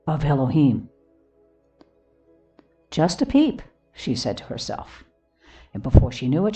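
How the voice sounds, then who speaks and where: A woman reading a devotional book aloud expressively, in a solemn, earnest manner with deliberate phrasing. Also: sits low, warm tone, far from the mic. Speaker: audiobook reader. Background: music.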